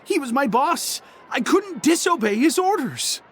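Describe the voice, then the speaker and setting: Stammering, nervous underling. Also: male voice, very high-pitched, sing-song, measured pace, a little hiss or hum, exaggerated voice. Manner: stammering, hesitant. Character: nervous young male underling. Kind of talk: video game voice acting.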